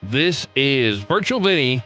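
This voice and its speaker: A man's voice: mid-range voice, sing-song, slow, lo-fi sound. A man hosting a podcast, reading a scripted sign-off with listener information. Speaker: podcast host. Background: music.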